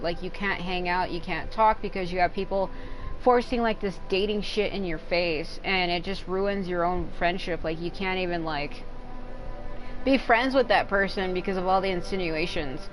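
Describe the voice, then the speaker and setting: Woman streamer ranting about stuff while gaming, swears a lot, middle pitch, faint hiss behind. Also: low-quality recording. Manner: frank and profane, rambling run-on talk; breaks into loud silly outbursts and laughter. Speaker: game streamer. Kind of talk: casual rambling chat while gaming.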